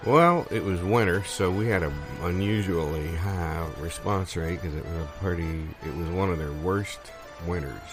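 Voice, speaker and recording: Guy as circus vet, really deep voice, hesitant deadpan improv chat, little music behind. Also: normal speed, hardly any pauses, lightly acted. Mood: mild, earnest. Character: male circus veteran, mild, curious, earnestly absurd. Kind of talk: improvised conversational comedy.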